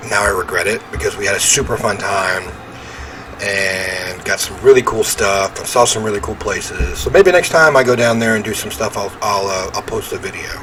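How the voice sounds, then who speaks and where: A man's voice: fairly low pitch, normal speed. A man hosting a gaming video, reading game text aloud and chatting with informal asides, with noise behind his voice. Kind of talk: casual let's-play commentary, reading game text aloud.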